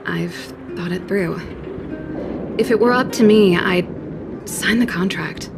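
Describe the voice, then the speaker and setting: A young woman of science in a video game, easygoing and frank with a confident, playful streak. Her pitch is average for a woman and music runs clearly behind her. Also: slow. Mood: reflective. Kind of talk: game cutscene voice acting.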